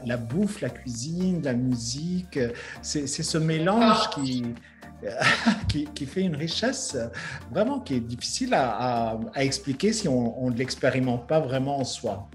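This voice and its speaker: A middle-aged man from Lebanon speaking effusively and haltingly in an interview over a video call, dropping in English words. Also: mid-range voice. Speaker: guest, fashion creative director. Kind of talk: enthusiastic conversational interview. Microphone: remote video call.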